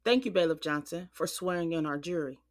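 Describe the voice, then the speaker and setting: A woman playing a formal, orderly judge instructing her courtroom in an audio drama, in a measured manner. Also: on the low side, natural voice. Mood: formal, composed.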